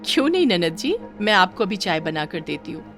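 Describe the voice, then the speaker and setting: A woman's voice: on the low side, very animated, fairly quick, a bit bright, soft background music. A woman voicing a meek young daughter-in-law in a moral story, polite, deferential and apologetic. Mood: polite, humble. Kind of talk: acted dialogue in a moral story.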